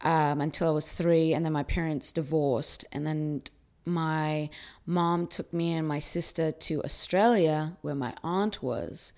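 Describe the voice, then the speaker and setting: A woman's voice: low-pitched, steady tone, unhurried, a little mellow. A woman from Greece, a musician guest on a podcast, chatting casually and rambling with many "you know"s. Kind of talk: casual interview chat.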